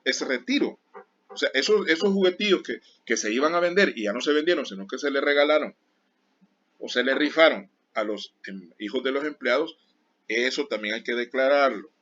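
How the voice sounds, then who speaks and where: A man's voice: medium pitch, pushed and bright. A man teaching an accounting class, explaining with everyday examples and stopping often to warn his students. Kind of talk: classroom lecture. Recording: dull lo-fi sound.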